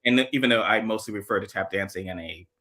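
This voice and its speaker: Low dry-voiced musing man. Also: fast talker. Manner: dry, musing, trails off quietly. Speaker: co-host. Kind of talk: casual podcast chat.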